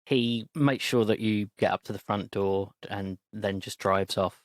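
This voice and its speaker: A man narrating a tabletop game as game master, in a measured, playful way. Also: fairly low pitch, fairly even intonation, no echo.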